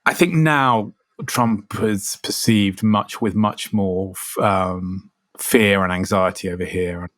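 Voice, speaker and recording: Deep-voiced man, hesitant. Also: takes it slowly, fairly bright tone, no echo. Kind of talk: reflective interview talk.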